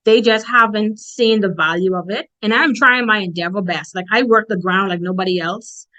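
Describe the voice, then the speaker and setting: A woman's voice: medium pitch, normal speed, pushed and bright. Bahamian woman conference speaker, direct and emphatic, conversational motivational talk, mid-range voice. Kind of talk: motivational presentation.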